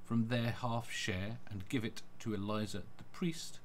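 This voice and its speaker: A man's voice: lowish voice, fairly even intonation, measured pace, slight background noise, low-quality recording. A man reading Bible passages aloud as scripted scripture narration.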